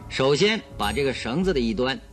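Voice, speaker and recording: Confident detective played by a man, mid-pitched, theatrical and explanatory, for radio drama. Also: lively intonation, fairly quick, faint music behind, natural voice. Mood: showmanlike. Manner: theatrical, explanatory, self-assured. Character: confident male detective theatrically demonstrating his deduction.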